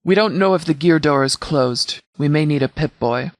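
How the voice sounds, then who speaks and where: An actor playing a stern, composed sheriff of a post-apocalyptic militia in a video game, speaking in a measured, formal, authoritative way with clipped orders. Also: male voice, fairly high pitch, no echo, clean studio sound, close to an everyday voice. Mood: stern, businesslike. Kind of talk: scripted video game dialogue.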